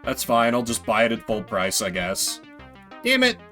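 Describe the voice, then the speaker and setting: A man's voice: mid-range voice, huge pitch swings, moderate pace, sharp tone. A man doing live game commentary in a playful, sweary way, reading bits of text aloud and chatting with viewers. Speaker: game streamer. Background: video game music.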